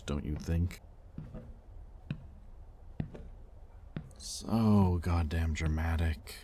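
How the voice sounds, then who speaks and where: A man playing a possessive, conflicted demon in an intimate audio roleplay, with halting, sardonic delivery and secret tenderness. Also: a bass voice, dark tone, slight background noise, full character voice. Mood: exasperated. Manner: intimate, halting, sardonic, with sighs and muttered curses. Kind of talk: dramatic audio roleplay addressed to the listener.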